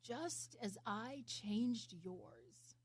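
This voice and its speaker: A woman voicing a wise, gentle old man in a storybook, speaking slowly and emphatically as an encouraging mentor. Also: medium pitch, sing-song, husky, heavily acted. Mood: humble, wise. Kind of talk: storybook character reading.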